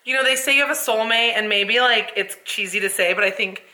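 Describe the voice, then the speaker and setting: A woman's voice: a little high, a little fast, pushed and bright. A woman in her thirties chatting casually and upbeat as a podcast co-host. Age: 30s. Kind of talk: casual chat.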